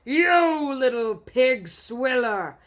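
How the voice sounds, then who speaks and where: A woman's voice: sits high, animated, drawn-out words, voice pushed up, full character voice. A woman voicing a brutish man-eating male giant in a storybook, roaring and gloating in mangled grammar. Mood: roaring, disgusted.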